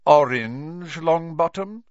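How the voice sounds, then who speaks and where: A man plays a menacing storybook schoolmaster, cold and contemptuous. He speaks deliberately, with sharply swinging intonation that bears down on the listener. The sound is dry and slightly dulled. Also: mid-range voice, sing-song, fairly bright tone, dry recording, lo-fi sound, pitched above the performer's own voice. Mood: scornful. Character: cold, menacing male teacher, scornful and bullying.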